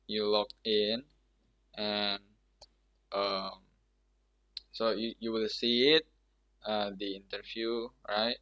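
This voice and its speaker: A young man with an Indonesian accent giving casual, halting advice about an online interview, with frequent restarts. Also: fairly low pitch, sharp tone. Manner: halting, many restarts and laughs. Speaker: vlogger sharing scholarship interview tips. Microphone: laptop or external mic.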